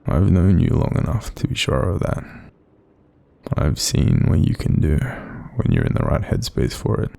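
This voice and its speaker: A kindly Australian sleep paralysis demon, acted by a man, with an extremely low voice full of heavy creak. He soothes and reassures in an informal, gentle way, and his husky delivery rises and dips freely as in an intimate roleplay audio. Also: huge pitch swings, normal speed, exaggerated voice. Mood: tender, encouraging. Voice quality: very deep, gravelly, breathy. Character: kind, supportive male sleep paralysis demon watching over someone.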